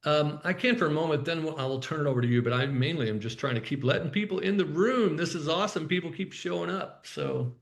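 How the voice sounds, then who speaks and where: A man's voice: on the high side, quick pace, vocal fry, few pauses. A man hosting a webinar who introduces the guest loosely and hesitantly. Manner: hesitant, loose, with fillers and restarts. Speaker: webinar host. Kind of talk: casual introduction. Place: in-person event room.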